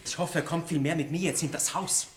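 A man's voice: a little high, fairly even intonation, a little fast, close to an everyday voice. An actor playing a gallant, hot-headed seventeen-year-old nobleman in a radio play, defiant and declamatory. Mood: cold, defiant. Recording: roomy, noisy 1960s radio play recording.

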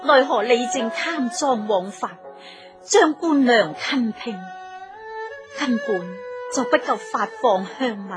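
Sorrowful, deferential young wife in nun's disguise: Cantonese radio drama, highish pitch, very measured pace. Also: female voice, expressive. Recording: music clearly behind the voice.

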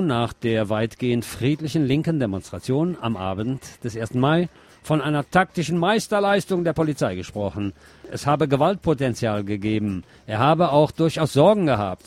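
A man hosting a radio show, presenting commentary and reading news aloud in a deliberate way with pauses. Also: medium pitch, lively intonation, darkish tone.

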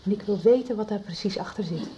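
Actress as discreet teacher, dark mellow tone, confidential and determined, faint background noise. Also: female voice, mid-range voice, lightly acted. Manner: confidential, measured. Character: discreet, determined woman schoolteacher. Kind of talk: radio soap acting. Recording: radio recording with faint noise.

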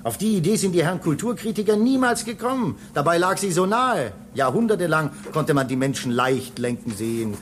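An actor playing a talkative, excitable space station technician in a German radio drama, lecturing his colleague in an insistent, teasing way. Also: fairly high pitch, a little fast, few pauses, natural voice. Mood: insistent, enthused. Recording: clear background noise behind dialogue.

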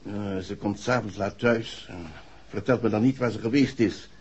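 An actor playing a proud, uneasy husband, husky, formal and emphatic, in a 1960s radio play. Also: male voice, fairly low pitch, normal speed, a little mellow, slight background noise, natural voice. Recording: dull old radio recording.

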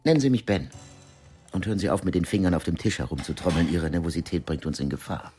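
An actor playing a controlled, commanding secret agent in a naturalistic radio drama. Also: male voice, deep voice, fast, warm tone, soft background music, lightly acted. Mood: cool, testing.

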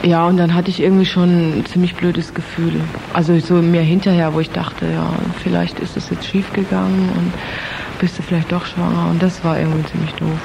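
A woman telling a personal anecdote in a colloquial, halting way. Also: on the low side, level tone, vocal fry. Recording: dull lo-fi, faint noise.

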